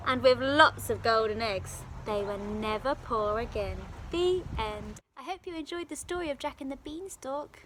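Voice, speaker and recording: A woman telling a story to young children as a teacher would, with expressive, dramatic and careful phrasing, recorded outdoors. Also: on the high side, moderate pace, hardly any pauses. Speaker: primary school teacher. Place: outdoors in a garden. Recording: faint outdoor background noise.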